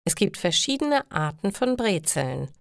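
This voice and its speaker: Female storyteller for language learners, careful and unhurried, very animated intonation, dry sound. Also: medium pitch, gravelly, dry recording. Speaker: narrator of language-learning stories. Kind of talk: scripted narration for language learners.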